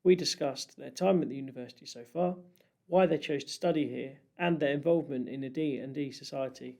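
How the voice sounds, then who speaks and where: A woman's voice: rumbling low, fairly quick, gravelly, dark tone. A woman hosting a student podcast, reading a welcome and asking the guests questions. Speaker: podcast host. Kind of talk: scripted intro then casual chat.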